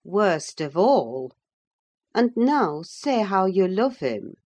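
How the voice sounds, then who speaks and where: Woman as stern, measured, sharp-tongued servant woman. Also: medium pitch, very animated. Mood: stern, quizzing. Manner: sententious, dry, scolding. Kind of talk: dramatised audiobook reading of a classic novel.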